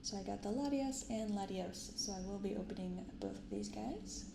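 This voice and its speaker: A soft-spoken woman hosting an unhurried ASMR-style unboxing, recorded in an untreated apartment room. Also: mid-range voice, sing-song. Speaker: host of a card unboxing channel. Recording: roomy untreated apartment, clear background noise.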